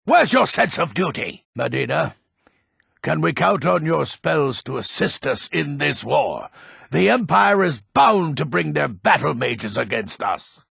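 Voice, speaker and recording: Old fantasy game lord, grumpy and proud, thick rasp, unhurried blustering delivery, animated intonation, close dry recording. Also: male voice, a little high, no room sound, exaggerated voice. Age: older. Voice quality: gravelly, raspy. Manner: grumpy, blustering, slow and weighty. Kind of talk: video game character voice acting.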